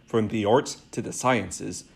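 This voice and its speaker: A young man narrates a news segment in the manner of a student reporter. His voice has plenty of vocal fry, at an ordinary pitch for a man. The delivery is scripted. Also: fairly even intonation, takes it slowly. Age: young adult.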